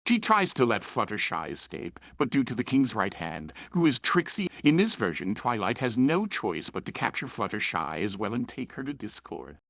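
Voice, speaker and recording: Animated man reviewer with a gravelly edge. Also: medium pitch, moderate pace, few pauses, no echo. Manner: chatty, self-aware, opinionated. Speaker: host and reviewer of fan fiction and audio dramas. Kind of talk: scripted review narration with playful asides.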